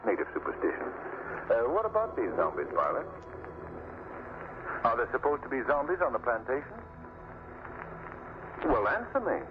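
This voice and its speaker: An actor playing an assured, reassuring gentleman traveler in an old radio drama. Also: mid-range voice, huge pitch swings, normal speed, long pauses. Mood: calm. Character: confident young gentleman traveler. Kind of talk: 1930s radio acting. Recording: tinny, hissy old radio broadcast.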